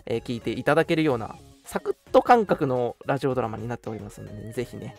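A man's voice: medium pitch, big pitch swings, fast talker, vocal fry, faint music behind. A man hosting a casual radio talk show, making announcements in polite phrasing mixed with friendly banter. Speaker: radio show host and scriptwriter.

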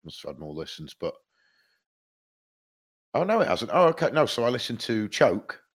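A man's voice: medium pitch, sing-song, normal speed, slightly rough. A man co-hosting a podcast, chatting casually about music, a bit stuffed up from hay fever and rambling with lots of restarts. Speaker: podcast co-host.

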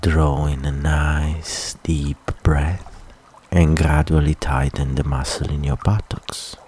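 Very deep, slow male meditation guide. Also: flat delivery, a little mellow, a little hiss or hum. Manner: soothing, unhurried, with long pauses. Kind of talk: guided relaxation meditation, soft scripted instruction.

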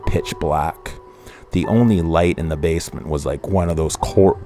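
A middle-aged man speaks in a very low, deep voice. He tells a personal story in a somber, rambling way, stopping often to correct himself. Faint music plays behind him. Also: mellow. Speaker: podcast host.

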